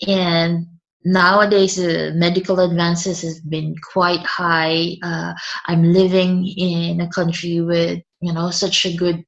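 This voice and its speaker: A woman's voice: fairly low pitch, little pitch movement, slow, vocal fry, highs cut off. A woman, a guest speaking hesitantly and often restarting her words. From Philippines. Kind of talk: conversational interview.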